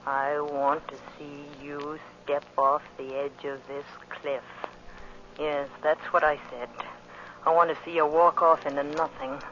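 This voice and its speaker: An actor playing a bitter avenger bent on revenge, in an intense, brooding old-time radio monologue. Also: male voice, fairly high pitch, level tone, unhurried, sharp tone. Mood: cold, bitter. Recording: dull, noisy old radio recording.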